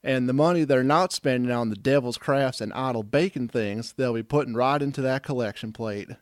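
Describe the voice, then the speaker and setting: Sanctimonious conservative plotter played by a man, medium pitch, grandiose, very dry sound, comic radio drama. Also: a little fast, dry recording, natural voice. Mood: gloating scheming. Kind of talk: satirical radio drama acting.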